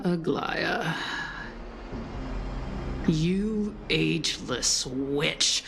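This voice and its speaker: Scheming noblewoman villain for a fantasy game: venomous, taunting, very drawn-out, over clear background noise. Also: sits low, exaggerated voice. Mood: venomous, menacing. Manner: taunting, theatrical, drawn-out phrasing. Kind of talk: dramatic video game voice acting.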